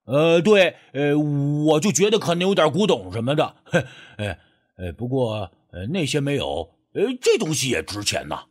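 A man's voice: on the high side, big pitch swings, moderate pace. A man playing a sly, sheepish rural man in an audiobook, stammering and wheedling as he angles for money. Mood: sheepish, hesitant. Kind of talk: acted audiobook dialogue.

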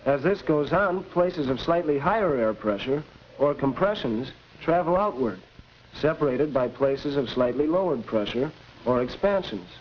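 Young American male actor as patient science explainer, highish pitch, vintage 1940s classroom-film delivery, noisy track. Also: expressive, normal speed, dull audio, close to an everyday voice. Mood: explanatory. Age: young adult. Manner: didactic, clearly explaining. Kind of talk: 1940s instructional film acting.

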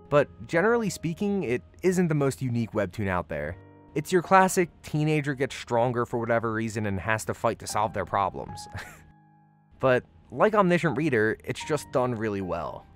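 A man hosting a video, reading a scripted review in an emphatic, conversational way. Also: medium pitch. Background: background music.

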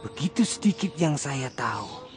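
A quiet, remorseful reformed man who speaks humbly and respectfully, as an actor plays him. His voice sits at an ordinary middle pitch and stays soft-spoken throughout. It is a radio drama performance. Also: sing-song, normal speed, over music, lightly acted. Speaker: villager returned from prison.

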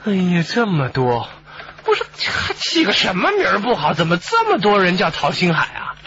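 An actor with a Mainland Chinese Mandarin accent playing a chatty, joking junior detective in a radio drama. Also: male voice, high voice, wildly swooping pitch, moderate pace, a bit bright, full character voice. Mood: exasperated. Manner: exclamatory, teasing. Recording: slightly muffled broadcast with faint noise.

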